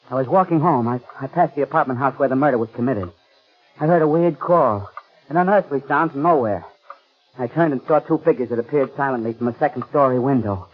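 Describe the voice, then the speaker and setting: An actor playing a frightened murder witness with frayed nerves, overwrought and halting, in 1930s radio style. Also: male voice, medium pitch, lightly acted. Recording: muffled old 1930s radio recording.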